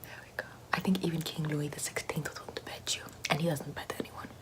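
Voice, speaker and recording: A woman's voice: low-pitched, fairly even intonation, fast, lightly acted. A woman whispering as a vain, pompous aristocratic lady doing makeup in an ASMR roleplay, in a haughty, self-admiring, gossipy way.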